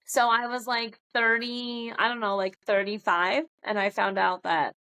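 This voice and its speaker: A woman's voice: a little high, little pitch movement, measured pace, sharp tone, low-quality recording. A middle-aged American woman chatting informally and jokingly as a podcast guest. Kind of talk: casual chat.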